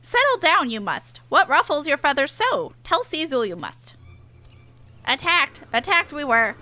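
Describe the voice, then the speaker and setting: Shrill, squawking bird-creature. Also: female voice, sing-song, a little slow, sharp tone, full character voice. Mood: agitated, indignant. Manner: inverted word order, punctuated with squawks. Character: excitable squawking bird-beastman with inverted speech. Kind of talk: game dialogue read aloud in character.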